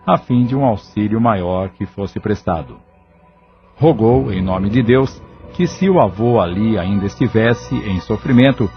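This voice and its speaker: Low-voiced male audio drama narrator. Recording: dull lo-fi audio. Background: background music.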